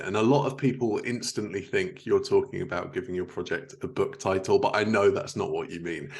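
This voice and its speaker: A man's voice: fairly low pitch, gravelly. A man hosting a podcast interview in a conversational style, speaking as a fellow writer.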